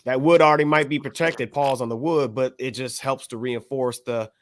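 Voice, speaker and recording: A man's voice: medium pitch, steady tone, moderate pace, dry recording. An American man giving casual album commentary as a panelist, rambling and leaning on "you know". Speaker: podcast panelist.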